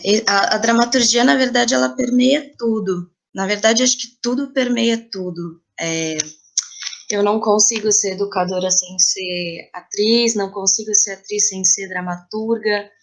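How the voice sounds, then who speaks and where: Brazilian woman on a podcast, average pitch, talking things through, reflective interview chat. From São Paulo, Brazil. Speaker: podcast guest, playwright, actress and teacher. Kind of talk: conversational interview, reflective explaining.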